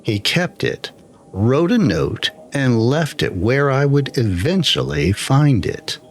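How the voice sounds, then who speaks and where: A man reading a scripted first-person horror story in a measured, deliberate way, with pauses between phrases. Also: mid-range voice, very animated, gravelly, soft background music. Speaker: storyteller, channel narrator.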